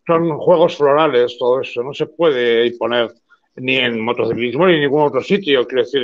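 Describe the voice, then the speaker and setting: A man hosting a live debate on a video call, reading out viewer questions and answering firmly. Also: mid-range voice. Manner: firm, dismissive of viewer questions. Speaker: host of a debate show. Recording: dull video call audio.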